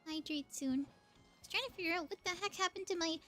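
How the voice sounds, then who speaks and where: A woman's voice: extremely high pitch, brisk, pushed and bright, faint music behind. A woman live-streaming a video game, chatting casually and thinking aloud as she plays.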